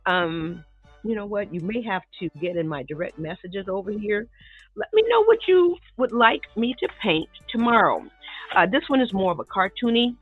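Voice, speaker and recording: A woman's voice: fairly low pitch, fairly bright tone, breathy edge. A middle-aged woman with a nasal, congested voice, chatting casually with viewers while she sets up a painting lesson in her home studio. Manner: chatty, laughs often, talks to viewers as "y'all" and "baby". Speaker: art teacher live-streaming a painting lesson. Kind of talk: casual live-stream chat while setting up. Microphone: desk microphone near the table. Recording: tinny, narrow-band live-stream audio.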